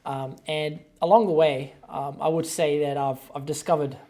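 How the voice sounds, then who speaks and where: A man's voice: on the high side, steady tone, normal speed, warm tone. A young man with a New Zealand accent, a podcast guest talking loosely and conversationally, with plenty of ums and "you know"s. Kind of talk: casual interview chat.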